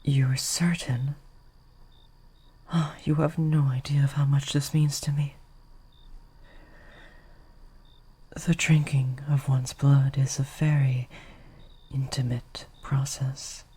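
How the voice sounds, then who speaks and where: A woman acts out a courtly female vampire in an intimate audio roleplay. Her voice is very breathy and husky, often slipping into a whisper, with a dark, velvety tone. She speaks slowly and formally, gentle yet possessive as she coaxes. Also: extremely low pitch, level tone, exaggerated voice. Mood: tender, hesitant. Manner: formal, archaic, slow, coaxing.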